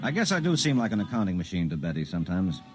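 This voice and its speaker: A man's voice: low voice, big pitch swings, quick pace, faint music behind. An actor playing a mild, henpecked investigator in his forties, conversational and earnest, in a 1950s radio drama. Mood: wry, reflective. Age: 40s. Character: mild, henpecked middle-aged male accountancy investigator, earnest and dutiful. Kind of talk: 1950s radio drama acting and first-person narration.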